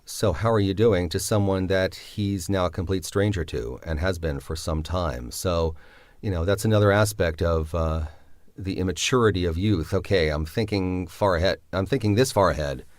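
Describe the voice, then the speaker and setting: A man's voice: deep voice, normal speed, dry recording, studio quality. A man, the writer of a drama, answers interview questions reflectively and hesitantly, with many fillers.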